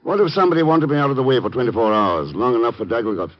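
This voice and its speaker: An actor playing a shrewd, cool intelligence agent in an old radio drama, clipped and matter-of-fact. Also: male voice, mid-range voice, expressive, very fast, natural voice. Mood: probing, suspicious. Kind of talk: 1950s radio drama acting. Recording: muffled 1950s radio recording.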